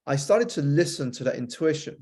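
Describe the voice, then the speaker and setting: A man with a British accent that has been softened by an American influence, a guest author on a podcast, telling a reflective story fluently with some repeated starts. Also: on the high side, lively intonation, a little fast. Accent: British, softened by years with an American spouse. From United Kingdom.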